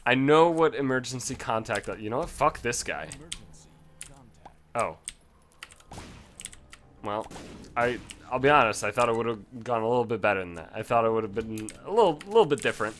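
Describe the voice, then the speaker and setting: Male let's-play host, animated delivery, casual and profane, prone to loud outbursts. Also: mid-range voice, moderate pace, long pauses, slight background noise. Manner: swears freely, yells in bursts of frustration. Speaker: gaming commentator.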